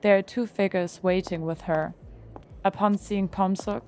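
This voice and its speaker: A woman narrates an audio drama at a middle pitch. Her reading is calm and even. She keeps a steady scripted tone. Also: a little slow, faint music behind.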